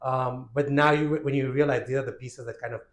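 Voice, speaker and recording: A man's voice: mid-range voice, steady tone, brisk. Conversational man in an echoey room. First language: Urdu. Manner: warm affirming, frequent fillers. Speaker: podcast host. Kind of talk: casual conversational interview.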